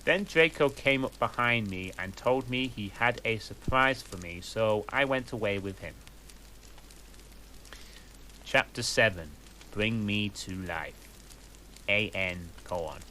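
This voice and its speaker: A man reads a story aloud in a slow, deadpan manner, giving each line little emphasis. His voice is bright and forward, and the pace is unhurried. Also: on the low side, slight background noise, low-quality recording. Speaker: reader. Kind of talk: comic dramatic reading.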